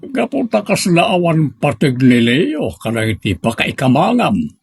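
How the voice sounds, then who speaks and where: A man's voice: a little high, wildly swooping pitch, unhurried, vocal fry, a little mellow, husky, no echo, full character voice. A man playing a stern, guarded middle-aged father of a bride in an audio drama. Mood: stern, doubtful. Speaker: drama actor. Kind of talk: Ilocano audio drama acting.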